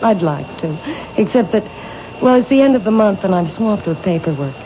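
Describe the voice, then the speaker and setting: An actress playing a reserved woman who owns a bookshop in a 1970s radio drama, quiet and polite. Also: medium pitch, expressive, moderate pace, natural voice. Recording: dull old radio broadcast with hiss.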